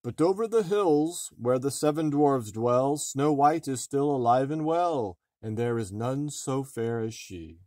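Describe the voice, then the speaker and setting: A man voicing a solemn, truthful magic mirror in a measured rhyming chant. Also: mid-range voice, lively intonation, dark tone, no echo, studio quality, close to an everyday voice.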